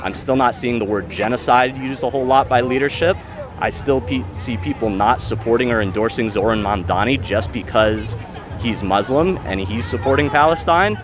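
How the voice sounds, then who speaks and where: A man's voice: mid-range voice, moderate pace. American man amid busy crowd noise. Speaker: protester interviewee. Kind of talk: opinionated street interview. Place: outdoors at a protest. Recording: outdoor crowd and traffic.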